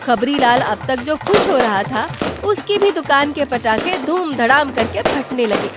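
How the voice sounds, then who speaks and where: A woman's voice: sits high, lively intonation, measured pace, over loud music. A woman reading scripted storytelling narration for a cartoon tale.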